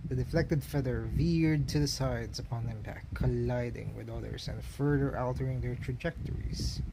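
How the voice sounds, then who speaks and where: A man's voice: mid-range voice, normal speed, gravelly, noisy background. A man reading a story aloud as a dramatic, emphatic narration. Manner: theatrical, emphatic storytelling delivery.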